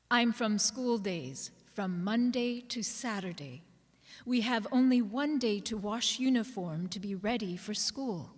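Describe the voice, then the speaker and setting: Slow, higher-pitched woman reading in echoing hall. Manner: slow, measured delivery with deliberate pauses. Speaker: actor reading others' writing aloud. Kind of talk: dramatic reading of personal poems. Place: reverberant hall, live public reading. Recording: long hall reverb.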